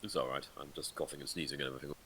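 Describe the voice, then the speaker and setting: A man co-hosting a game stream over a voice call, joking in a dry, deadpan way. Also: extremely low pitch, rapid-fire, crowd noise. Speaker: stream co-host. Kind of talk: casual chat.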